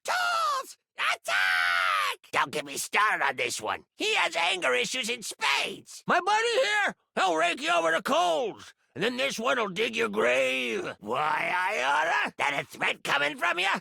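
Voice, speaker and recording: A grumpy talking trowel, a wisecracking blue-collar foreman, for a fantasy game. The voice is very gravelly and forceful, swinging up and down with slangy bluster. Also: very high voice, huge pitch swings, unhurried, few pauses, no room sound, studio quality, pitched above the performer's own voice, full character voice. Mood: belligerent.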